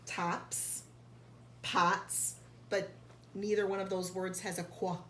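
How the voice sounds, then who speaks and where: Unhurried woman reading to children in echoey room. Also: medium pitch, little pitch movement, fairly bright tone. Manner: didactic, enunciates and sounds out key words for children. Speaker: teacher reading a picture book aloud to young children. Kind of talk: read-aloud storytelling with explanatory asides. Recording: echoey room, faint background noise.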